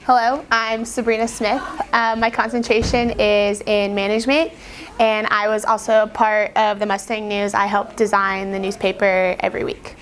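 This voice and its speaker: A woman's voice: mid-range voice, little pitch movement, moderate pace, a little hiss or hum. A young woman, a graduating student, introducing herself in a light, teasing way. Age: young adult. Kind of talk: casual personal introduction.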